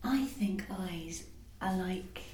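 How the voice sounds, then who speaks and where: Female narrator, intimate literary audio piece. Mellow, slightly low voice, hushed and measured. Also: animated, a little hiss or hum. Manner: slow, hushed, measured delivery, often near whispering. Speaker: narrator and performer of an audio playlet.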